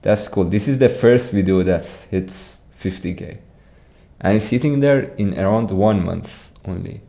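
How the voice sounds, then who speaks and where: A man's voice: fairly low pitch, unhurried, gravelly, warm tone. A young man with an Iranian accent, chatting casually on a livestream, often repeating words as he answers viewers. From Iran. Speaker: streamer.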